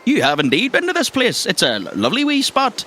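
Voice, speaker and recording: A man's voice: very high-pitched, huge pitch swings, brisk, bright, forceful tone, slight background noise. A man with an Irish accent narrating a tabletop roleplaying game as game master, descriptive, with colloquial asides. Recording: music behind the voice.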